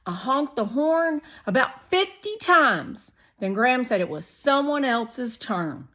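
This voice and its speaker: A woman voicing a loud, pushy, energetic young boy in a children's storybook, blurting things out eagerly. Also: sits high, slow pace, voice pushed up, exaggerated voice. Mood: eager chatter. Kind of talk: children's storybook read-aloud.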